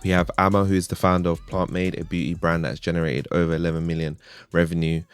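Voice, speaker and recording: Deep-voiced male podcast host. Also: flat delivery, fast talker, clear, resonant tone. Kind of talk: casual interview chat.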